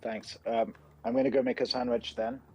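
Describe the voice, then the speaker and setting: A man's voice: mid-range voice, moderate pace, breathy edge. A man hosting a livestream, chatting casually and telling rambling stories from home, with a slight American accent. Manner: relaxed, rambling storytelling. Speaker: livestream host. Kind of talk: casual chat. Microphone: computer microphone.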